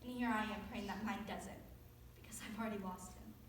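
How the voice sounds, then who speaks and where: A woman's voice: mid-range voice, fairly even intonation, a little fast, close to an everyday voice. A young woman playing a grieving, guilt-ridden mother in a staged dramatic monologue. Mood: anguished. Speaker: student performer in readers theater. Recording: distant echoey stage recording, faint noise.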